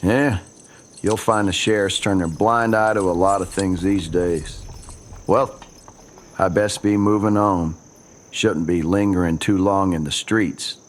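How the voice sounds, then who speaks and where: A cautious townsman from a western radio play. His voice sits very low, and he talks in a folksy, hesitant manner, as if minding who might overhear. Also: fairly even intonation, measured pace, slight background noise. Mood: wary. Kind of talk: old-time western radio drama acting.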